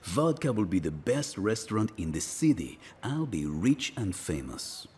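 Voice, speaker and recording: An actor playing a boastful, smooth-talking reformed gangster in a game, with a husky voice and a teasing, theatrical manner. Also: male voice, on the low side, very animated, measured pace, darkish tone, heavily acted. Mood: suave.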